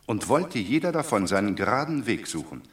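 An actor playing a droll, sententious Roman commoner in a classic radio play, reasoning with pedantic earnestness. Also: male voice, lowish voice, very animated, fairly quick.

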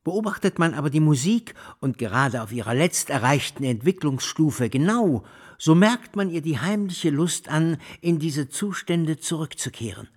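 An actor playing an erudite music lecturer in a radio drama, speaking in ornate, solemn, declamatory phrases with devout fervour. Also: male voice, fairly high pitch, expressive, normal speed, husky. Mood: fervent, scholarly.

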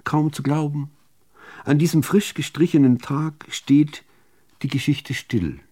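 A man reciting literary poetry, phrasing it in a measured, deliberate way with expressive emphasis. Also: on the high side, slightly rough. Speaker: reader of poems.

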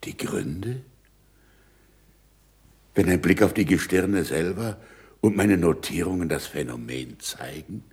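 An actor playing an earnest astronomer in a 1960s German radio play, speaking in a formal, deliberate and emphatic way. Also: male voice, low voice, animated, darkish tone, reverberant, exaggerated voice. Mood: insistent.

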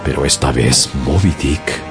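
A deep, gravelly male voice tells the tale as a young sailor, slow and grave. Loud music runs under every line. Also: exaggerated voice. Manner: grave, measured storytelling. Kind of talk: dramatic audiobook narration.

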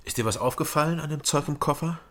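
A man's voice: fairly high pitch, fast talker, a little hiss or hum, lightly acted. An actor playing a wary East Berlin investigator in a radio crime drama, terse, sober and probing as he narrates and questions. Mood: guarded, wary. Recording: studio radio drama with music behind.

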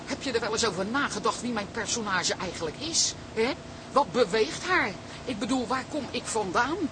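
A woman's voice: on the high side, animated, normal speed, noisy background, lightly acted. An actress playing a vain, self-important older woman in a Dutch radio play, pompous, fussy and easily indignant. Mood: indignant, haughty.

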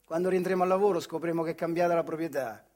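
A man acting a plain-spoken factory worker in a radio theatre monologue. His high voice carries a central Italian accent as he tells his story in animated, colloquial turns, proud and stubborn, tossing in rhetorical questions. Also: racing, close to an everyday voice. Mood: reminiscing. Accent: central Italian dialect. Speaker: actor-narrator.

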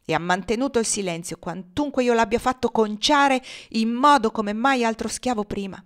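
A woman reading the part of a cruel, indignant male slave owner in an audiobook, with forceful, scornful declamation. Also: on the high side, moderate pace, natural voice.

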